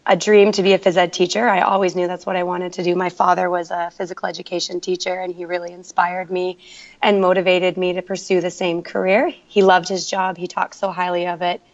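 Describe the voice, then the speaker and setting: A woman's voice: fairly low pitch, level tone, fairly quick. A woman working as a teacher, telling her story earnestly in a podcast interview. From Canada. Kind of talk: conversational interview. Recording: dull lo-fi remote audio.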